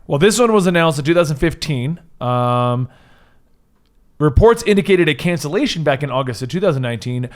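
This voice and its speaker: A man with a slightly high-pitched voice co-hosts a casual discussion show. He reads items from a list and chats about them in a jokey manner, often correcting himself as he goes. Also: expressive, a little fast. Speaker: co-host reading and discussing a list. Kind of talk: casual chat with read-out news items.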